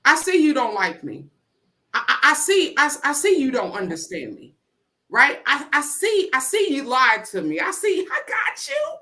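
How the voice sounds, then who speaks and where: A woman's voice: wildly swooping pitch, moderate pace, pushed and bright. A woman giving an inspirational spoken-word talk, with a repetitive, rhythmic preaching cadence and call-outs to the crowd. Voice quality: deep voice. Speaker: motivational speaker.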